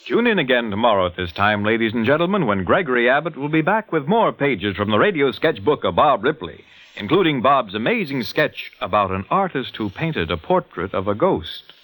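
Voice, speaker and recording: A man's voice: mid-range voice, sing-song, fairly quick, fairly bright tone. A man working as announcer on a 1940s radio show, with scripted announcements and banter.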